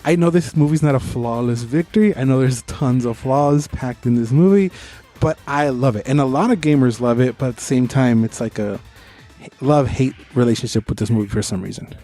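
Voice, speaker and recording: A man's voice: medium pitch, fairly quick, dark tone, soft background music. A man co-hosting a podcast, giving opinionated, informal review chat with bits of trivia. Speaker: podcast co-host.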